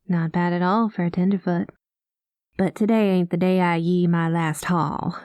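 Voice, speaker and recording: A woman playing a rogue space pilot in an ASMR roleplay, talking close to the mic in a soft, folksy cowboy drawl that drops into whispers. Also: on the low side, no echo. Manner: soft-spoken, slips into whispers. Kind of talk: ASMR roleplay, spoken to the listener.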